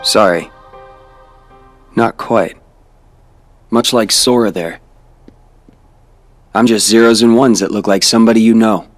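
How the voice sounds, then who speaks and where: A young man playing a calm, cryptic figure made of data, explaining things evenly in a game cutscene. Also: on the low side, soft background music. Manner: calm, measured.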